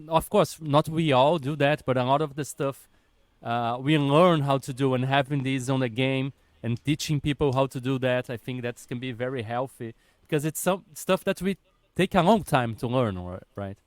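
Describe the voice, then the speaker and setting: A man hosting a casual podcast conversation, animated and chatty, with lots of "you know" fillers and occasional swearing. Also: medium pitch, normal speed, no echo. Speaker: podcast host.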